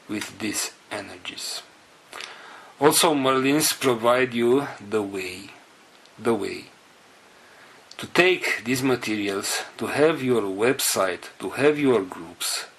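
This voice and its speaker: Very slow, low-voiced earnest man. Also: fairly bright tone, slightly rough, rough recording. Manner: halting, earnest, phrases broken by pauses. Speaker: spiritual group leader. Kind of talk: spiritual address to group members.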